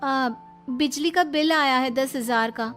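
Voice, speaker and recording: Woman doing a young indian cartoon daughter-in-law, high pitched, sweet wheedling, music behind. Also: a little slow, fairly bright tone. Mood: sweetly coaxing. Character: young daughter-in-law, devoted, coaxing and quietly shrewd.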